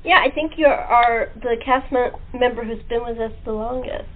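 A woman's voice: fairly high pitch, moderate pace. A woman hosting a casual interview, chatty and giggly, over a muffled, tinny line. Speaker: talk show host.

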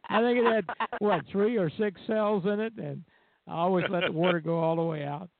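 A man's voice: sits high, steady tone, brisk, vocal fry, a little hiss or hum. An older man chatting casually as a radio show host over a narrow, phone-like connection. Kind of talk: casual chat. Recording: phone-like, narrow band audio.

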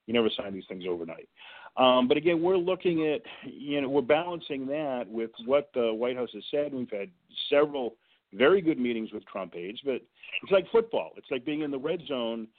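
A man calling in to a talk show as a guest and making his case emphatically, with sports comparisons. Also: medium pitch. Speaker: guest advocacy director. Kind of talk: phone-in radio interview. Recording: tinny phone line.

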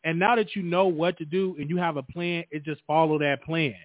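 A man's voice: a little high, steady tone, fairly quick, no room sound. A man who just turned thirty, hosting a casual chat, encouraging and using many fillers. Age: 30s. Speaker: host. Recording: dry, phone-like sound.

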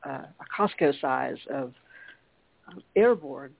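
A woman's voice: extremely low pitch, lively intonation, takes it slowly, vocal fry, no room sound. A congested woman co-hosting a casual radio chat, chatty and trailing off with fillers. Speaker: co-host. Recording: tinny phone-like dry line.

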